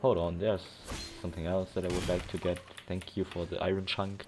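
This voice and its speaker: A man's voice: low voice, level tone, fairly quick. A man casually commentating as he plays a video game, rambling and thinking aloud. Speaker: gameplay commentator. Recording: game music loud behind. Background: game music.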